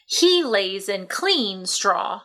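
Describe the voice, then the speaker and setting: A woman's voice: mid-range voice, very slow, full character voice. A woman voicing a proud, doubtful farmer husband in a storybook, grumbling his objections. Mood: correcting. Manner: flat, mumbling objections.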